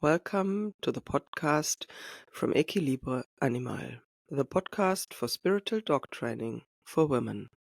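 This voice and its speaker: A woman with a German accent hosting her own podcast. She tells her story in a slow, reflective monologue and often searches for words. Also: extremely low pitch, expressive, gravelly. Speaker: podcast host and dog trainer.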